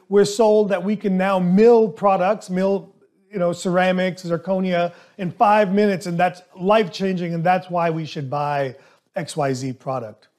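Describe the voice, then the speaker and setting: High-voiced, emphatic male podcast host. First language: not English. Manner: emphatic, animated, coaching tone. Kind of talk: solo podcast monologue.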